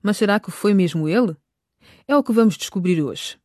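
A woman's voice: mid-range voice, animated, fairly quick, darkish tone, dry recording, clean studio sound. A woman narrating a scripted radio drama as its storyteller.

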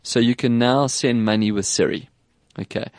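A man with an English South African accent hosting a casual tech chat, animated and quick to put on voices when quoting people. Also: mid-range voice, dry recording. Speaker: podcast host.

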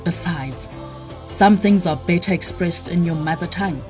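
A woman host reading the scripted introduction of a podcast in a clear announcing manner. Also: on the low side, moderate pace, a little mellow, soft background music. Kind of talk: scripted narration.

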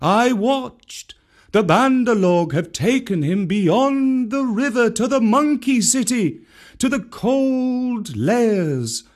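A man voicing a male kite who brings a message, speaking slowly and formally in a raised, distant-sounding voice. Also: very high-pitched, lively intonation, a little mellow, pitched above the performer's own voice, heavily acted. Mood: solemn. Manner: slow, formal, ringing delivery. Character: high-flying male kite, messenger bird.

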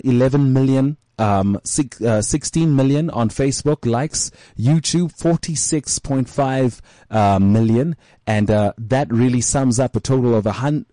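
Rambling host, dry close mic. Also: male voice, medium pitch, warm tone, no echo. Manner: rambling with many fillers and restarts. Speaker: show host. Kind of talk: casual interview chat.

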